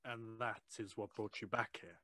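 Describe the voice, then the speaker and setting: A man's voice: fairly low pitch, steady tone, moderate pace, sharp tone, dry recording. An actor playing a polite, concerned railway man in a period audio drama, deferential and doubting. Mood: polite, puzzled.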